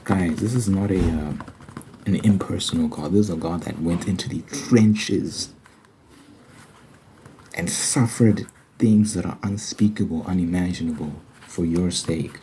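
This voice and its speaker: A man with a very low, dark, relaxed voice speaks in an earnest ASMR manner, like an informal religious talk. He is conversational and leans on repeated phrases for emphasis. Light noise and clicks sit behind him. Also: a little slow, low-quality recording. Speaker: ASMR Bible study host.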